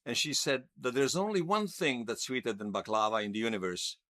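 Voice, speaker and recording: A man's voice: mid-range voice, very animated, fairly quick, a bit bright, no echo, clean studio sound. An actor playing a nostalgic, wryly amused man recalling his past conversationally in an interview-style audio drama. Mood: fond, amused. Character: nostalgic man recalling lost love in a future world.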